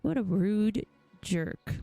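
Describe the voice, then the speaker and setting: A woman's voice: mid-range voice, animated, takes it slowly, vocal fry, dark tone, no room sound. A woman livestreaming a video game with playful, casual commentary and reactions. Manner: playful, goofy. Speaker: livestreamer playing and voicing game dialogue. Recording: music behind the voice. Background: game music.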